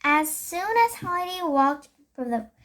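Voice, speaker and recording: Young child reader, very high voice, slow and halting, for a children's book read-aloud. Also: lively intonation, a bit bright. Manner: halting, stumbles and corrects words. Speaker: storybook reader.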